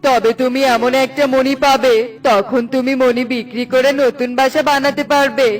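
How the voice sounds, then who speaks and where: A woman voicing a good-hearted, excitable male pigeon woodcutter in a cartoon, earnest and full of exclamations. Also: sits high, normal speed, faint music behind, close to an everyday voice. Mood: reassuring. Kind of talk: cartoon voice acting.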